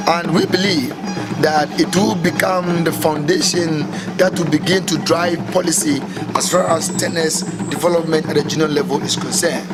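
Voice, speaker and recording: Man giving a formal address. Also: on the high side. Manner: earnest, measured, speech-like delivery. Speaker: tennis foundation official. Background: loud music.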